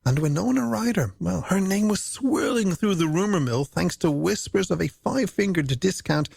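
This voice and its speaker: Creaky-voiced male late-night radio host. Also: sits high, lively intonation, normal speed, darkish tone, no echo. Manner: playful, wordy patter addressed to the listener. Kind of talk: scripted, chatty late-night radio presenting. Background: music.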